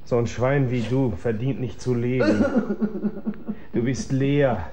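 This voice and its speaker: An actor playing a bitter, lovesick failed writer, coarse, taunting and accusing, in a radio drama. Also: male voice, medium pitch, fairly even intonation, takes it slowly, warm tone, natural voice. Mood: contemptuous.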